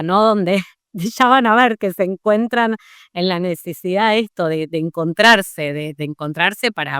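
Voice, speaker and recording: Argentine woman podcast host, lowish smooth voice, dry close sound. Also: fairly bright tone, no echo. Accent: Argentine Spanish. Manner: thoughtful, thinks aloud with restarts. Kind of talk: conversational interview.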